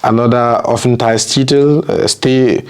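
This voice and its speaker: A man from Ghana, a guest in an interview, telling his story in an earnest, halting way and dropping in German terms. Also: medium pitch, steady tone, clear, resonant tone.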